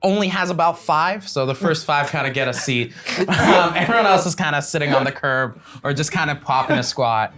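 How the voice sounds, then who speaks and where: A man's voice: a little high, fairly quick, sharp tone, faint music behind. A man narrating a tabletop fantasy game as the game master.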